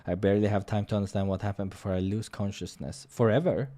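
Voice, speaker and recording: A man's voice: fairly low pitch, fairly even intonation, fast, warm tone. A man hosting a visual novel playthrough, reading narration dramatically and commenting casually on the game. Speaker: gaming playthrough host.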